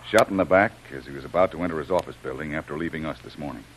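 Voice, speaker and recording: An actor playing a resolute, commanding adventurer in a vintage radio serial, with firm, declamatory delivery. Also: male voice, extremely low pitch, rapid-fire, fairly bright tone, low-quality recording. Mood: grim, vengeful. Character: Jim, resolute heroic adventurer, commanding and brave. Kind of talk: 1930s-40s radio adventure acting. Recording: hissy old radio recording.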